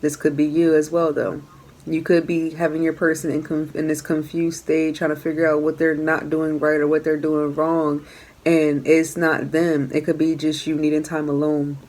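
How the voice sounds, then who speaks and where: Low-voiced woman giving a tarot reading. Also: monotone, normal speed, a little mellow. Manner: earnest, repeats phrases while coaching the listener. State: hoarse, losing her voice. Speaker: tarot reader. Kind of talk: casual tarot reading for viewers.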